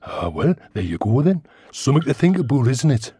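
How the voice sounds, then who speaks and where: A man voicing a chatty, insistent oddball worm-like butler in a radio sitcom, with a raspy British voice. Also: medium pitch, very animated, brisk, mellow, no room sound. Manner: chatty, insistent, over-familiar. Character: talking worm and shambling butler, pestering oddball. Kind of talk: comedy radio sitcom acting.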